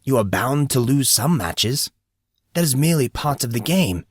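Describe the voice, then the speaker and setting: A man voicing a courteous, calm, knowing gentleman with formal, polite phrasing in an audiobook story. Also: medium pitch, moderate pace, husky, no room sound, studio quality. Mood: courteous, amused.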